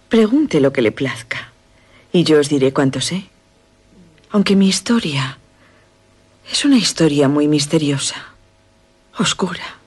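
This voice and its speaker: A mysterious, languid young noblewoman in a gothic radio play. She has a lowish, husky voice and a Castilian accent. Her speech is formal and a little evasive, as if guarding secrets. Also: darkish tone, heavily acted. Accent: Castilian Spanish. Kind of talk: radio drama acting.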